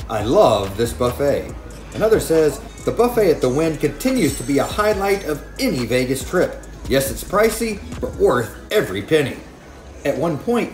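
A man's voice: fairly high pitch, darkish tone. Upbeat man vlogging at a casino buffet. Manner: upbeat, chatty food tasting. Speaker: travel vlogger host. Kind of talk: casual travel vlog, partly scripted voice-over. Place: casino buffet restaurant, indoors. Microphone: handheld camera held at arm's length.

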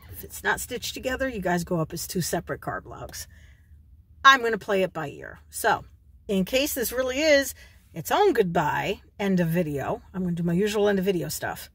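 Husky-voiced lady vlogging from her parked car, phone at arm's length, rambly and chatty. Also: mid-range voice, very animated, moderate pace, a bit bright, no room sound. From New York. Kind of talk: casual car vlog.

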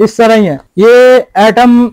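Young guy hawking fabric, high-pitched, dry close sound, keeps pushing prices. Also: slow, a little mellow, clean tone, no room sound. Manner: persuasive, repeats prices. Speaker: cloth wholesaler. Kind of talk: sales pitch. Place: cloth warehouse indoors.

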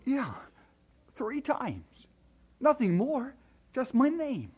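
A man's voice: extremely high pitch, wildly swooping pitch, very slow, breathy edge, exaggerated voice. An actor playing an earnest, hesitant young Scottish man in an audio drama.

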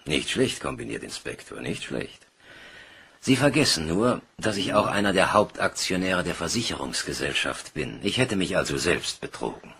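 An actor playing a haughty, wealthy bank director in a German crime radio play, with a raspy voice and pompous, imperious manner. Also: male voice, deep voice, big pitch swings, moderate pace, fairly bright tone, exaggerated voice. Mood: scornful, indignant.

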